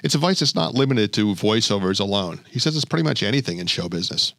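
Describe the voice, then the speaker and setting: A man's voice: lowish voice, animated, fast talker, fairly bright tone, no echo, clean studio sound. A male podcast host narrating in a light, wry storytelling way between interview clips. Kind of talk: scripted narration.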